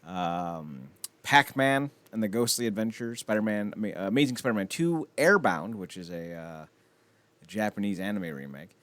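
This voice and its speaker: A man's voice: on the low side, fairly bright tone, dry recording. A man hosting a podcast in casual chat about films, rambling along with blunt, wry opinions. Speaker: podcast host.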